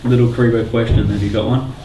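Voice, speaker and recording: A man's voice: medium pitch, fairly even intonation, quick pace, over a noisy crowd. A man hosting a casual panel chat, moving the talk along with questions. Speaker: podcast host. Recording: echoey, distant mic, faint noise.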